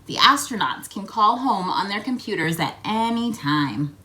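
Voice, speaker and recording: A woman reading a children's picture book aloud in a playful, expressive way, prompting young listeners with questions. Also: medium pitch, measured pace. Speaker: children's read-aloud host.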